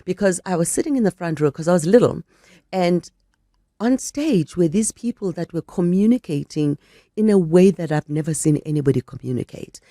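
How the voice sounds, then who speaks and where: An older woman, a guest on a radio interview, telling her story in a measured, reflective way, a little croaky from a flu. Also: on the low side, expressive, mellow, dry recording, clean studio sound. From South Africa. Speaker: actress and guest.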